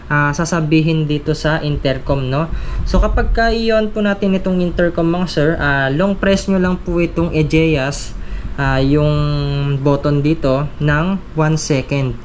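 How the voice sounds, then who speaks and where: A man's voice: fairly high pitch, a little slow, slight background noise. A man giving a casual, polite step-by-step product tutorial as a gear reviewer. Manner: polite, addressing viewers respectfully.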